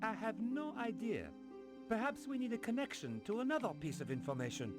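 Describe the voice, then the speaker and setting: A man playing a scholarly, cautious friend with a measured, methodical way of talking in an adventure game. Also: high-pitched, very animated, over loud music.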